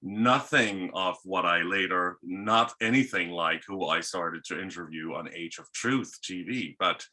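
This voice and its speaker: A man's voice: deep voice, unhurried, few pauses, pushed and bright, rough recording. A man with a Danish accent, a guest telling his life story in a rambling, casual interview. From Denmark. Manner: rambling, with many restarts.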